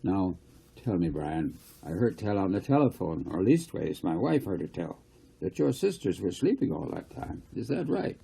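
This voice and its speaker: Man voicing a gravelly, folksy country farmer. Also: sits low, big pitch swings, normal speed, dark tone, heavily acted. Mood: puzzled, gossipy. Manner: folksy dialect, musing. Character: plain-spoken rural farmer, older man, folksy and curious.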